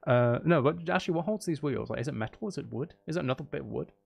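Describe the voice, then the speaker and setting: A man's voice: medium pitch, expressive, fast talker, creaky, a little mellow, breathy edge. A man chatting casually as a livestream host, with playful, teasing, rambling banter and self-deprecating humour. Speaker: livestream host chatting with viewers while playing a game. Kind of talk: casual livestream chat with gameplay commentary.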